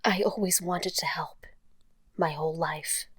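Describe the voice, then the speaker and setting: A woman playing a grieving, self-doubting young woman in an audio drama, subdued and halting. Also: deep voice, steady tone, slightly rough, no echo. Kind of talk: naturalistic audio drama dialogue.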